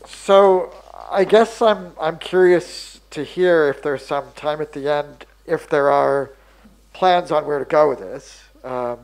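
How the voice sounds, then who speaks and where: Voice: medium pitch, takes it slowly, darkish tone, rough recording. An audience member at an academic conference asks a hesitant question, full of ums and restarts. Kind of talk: academic panel discussion.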